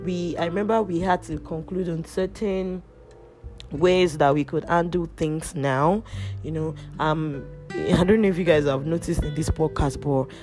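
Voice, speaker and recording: A woman hosting a podcast, telling a personal story in a casual, loud, animated way with many restarts. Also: low voice, unhurried. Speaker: podcast host. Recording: music playing underneath. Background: guitar music.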